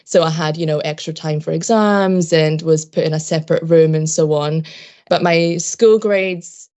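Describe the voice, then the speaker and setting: A young woman with a low voice, from Japan and raised in Scotland, with Japanese as her first language. She talks as a podcast guest, reflective and measured, hedging her points as she goes. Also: fairly even intonation, dark tone. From Japan, raised in Scotland from age ten. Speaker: podcast guest, PhD student. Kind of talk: casual interview talk.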